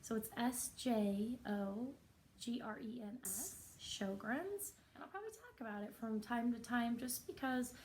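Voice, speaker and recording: A woman with a somewhat high but soft and raspy voice talks straight to camera in a personal vlog. She is earnest and reflective, pausing often. A faint hum of ambience sits behind her. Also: animated, poor audio. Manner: earnest, reflective, unhurried with pauses. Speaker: vlogger.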